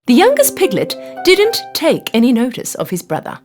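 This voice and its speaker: A woman narrating a scripted children's story in an expressive, deliberate storytelling manner. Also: sits high. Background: music.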